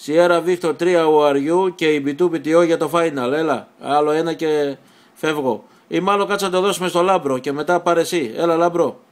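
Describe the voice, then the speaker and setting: A man, an amateur radio operator, bantering casually over a radio transmission. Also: on the high side.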